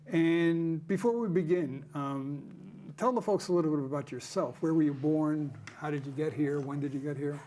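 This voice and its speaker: A man's voice: on the high side, normal speed, hardly any pauses, dark tone, a little hiss or hum. A man in his eighties hosting a casual interview, breaking in with follow-up questions. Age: 80s. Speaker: host.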